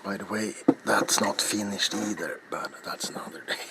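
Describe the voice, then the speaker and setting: A middle-aged man narrating a craft in soft ASMR style, hushed and unhurried, often slipping into a whisper. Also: lowish voice, gravelly, rough recording. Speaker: ASMR crafting video host. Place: small cluttered indoor workshop. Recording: loud background noise, handling clicks.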